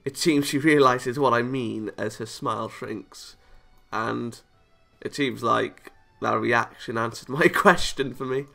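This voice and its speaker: A man's voice: mid-range voice, measured pace, gravelly, sharp tone, faint music behind. A man reading a visual novel aloud as a let's player, stumbling over lines and reacting playfully with casual asides. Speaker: video game let's player.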